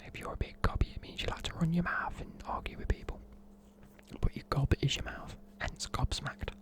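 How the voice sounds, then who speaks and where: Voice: medium pitch, steady tone, brisk. A person with a British accent whispering informal, chatty, matey explanations in an ASMR style. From United Kingdom. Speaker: presenter explaining slang.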